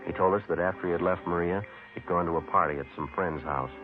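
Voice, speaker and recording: A muffled vintage radio recording with music behind carries a male actor's police detective. He is low-voiced and quick, terse and unemotional, reporting facts in a deadpan way. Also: natural voice. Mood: flat, factual. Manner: clipped, deadpan, matter-of-fact. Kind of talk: 1950s radio drama acting and narration.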